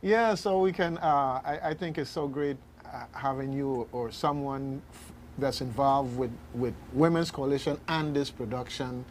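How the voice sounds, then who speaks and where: A male radio host in casual on-air conversation. He talks haltingly in a mid-range voice, doubling back on words, with faint noise in the recording. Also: mid-range voice. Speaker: radio talk show host.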